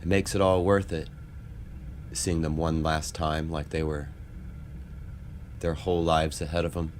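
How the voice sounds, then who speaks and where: A man's voice: rumbling low, flat delivery, a little slow, darkish tone, slight background noise. A man playing a weary, desperate, middle-aged retired marine and father in a dramatic comic dub. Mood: wistful, resigned.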